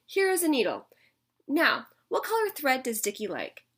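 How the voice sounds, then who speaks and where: A woman reading aloud who voices a cheerful, literal-minded young maid with a bright, eager delivery. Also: sits high, huge pitch swings, moderate pace, voice pushed up, heavily acted. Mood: chirpy.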